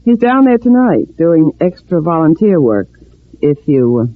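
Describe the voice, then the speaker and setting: A shrewd female conspirator of old radio drama. Her low woman's voice moves through a lively range in clipped, knowing phrases, on a thin, tinny recording. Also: a little slow. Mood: briskly knowing. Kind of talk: 1940s radio drama acting.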